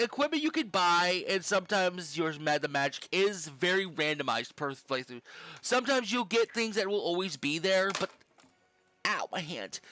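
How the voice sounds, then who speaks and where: A man's voice: sits high, bright, forceful tone, dry recording. A young man giving casual, rambling commentary while playing a game, swearing offhandedly now and then. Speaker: gaming channel host.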